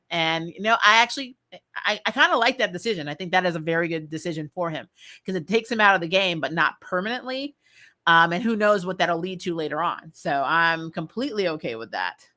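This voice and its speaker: A woman giving casual, opinionated commentary as a show host, chatty and animated, with blunt, slangy phrasing. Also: fairly low pitch.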